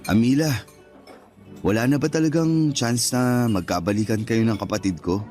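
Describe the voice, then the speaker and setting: A calm, concerned older brother with a Filipino accent played by a man. His mid-pitched voice stays firm, in Tagalog radio drama style over faint music. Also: normal speed, natural voice. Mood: concerned, sympathetic.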